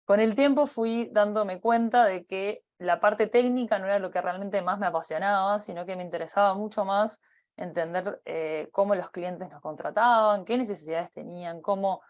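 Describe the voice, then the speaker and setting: A woman in her thirties with a Uruguayan accent, giving a personal career talk, pausing often with fillers and restarting her phrases. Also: mid-range voice. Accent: Uruguayan Spanish. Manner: hesitant, many fillers and restarts. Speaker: company partner giving a talk.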